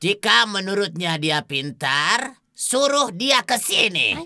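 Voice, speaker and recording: A man's voice: high-pitched, expressive, slow, sharp tone, exaggerated voice. A man playing a capricious, teasing tsar with a theatrical, drawling delivery in a cartoon dub. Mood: sly, musing. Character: capricious teasing Tsar who sets riddles.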